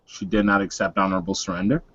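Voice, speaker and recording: Serene, scheming male werewolf leader played by a man, low and deliberate, tabletop game, dry dull recording. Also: level tone, a bit bright, breathy edge, no room sound. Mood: argumentative.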